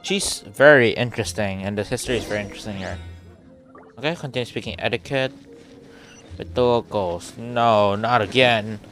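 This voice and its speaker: A woman's voice: very deep voice, normal speed, soft background music. A woman streamer giving casual live commentary, reacting aloud to a game as it plays.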